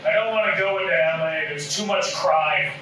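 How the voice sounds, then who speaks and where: A man's voice: a little high, normal speed, natural voice. Comedy table read: man acting as curmudgeonly washed-up older actor, angry and emphatic, distant noisy recording. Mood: grudging, stubborn. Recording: echoey room, distant mic, noisy.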